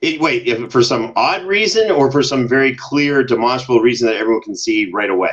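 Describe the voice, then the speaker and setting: A man's voice: mid-range voice, animated, a little fast, a bit bright, highs cut off. A middle-aged American man chatting casually as a podcast guest, with a joking, dryly sarcastic manner. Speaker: podcast guest, audio drama producer. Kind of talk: casual conversational interview.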